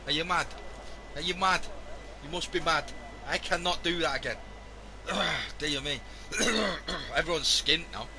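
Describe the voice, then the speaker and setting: A man commentating a live sim racing stream, hoarse and losing his voice, joking wearily with the chat. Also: fairly high pitch, measured pace, pauses often, background noise. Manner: weary, self-mocking banter with the chat.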